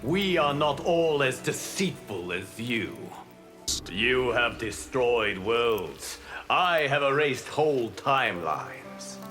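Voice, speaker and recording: Righteous deity, grave, formal, slow, expressive pitch, video game cutscene. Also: male voice, soft background music, full character voice. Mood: solemn defiance. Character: solemn, righteous male god guarding time.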